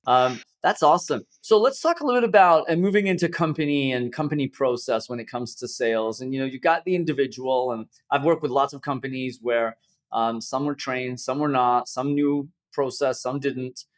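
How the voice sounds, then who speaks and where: A man's voice: medium pitch, normal speed. Man host asking long winding questions. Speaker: podcast host. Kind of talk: podcast interview questions.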